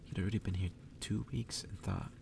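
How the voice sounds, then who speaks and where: A man reading a story aloud in a hushed, unhurried, breathy ASMR whisper. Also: sits low, steady tone. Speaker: reader. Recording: distant mic with clear background noise.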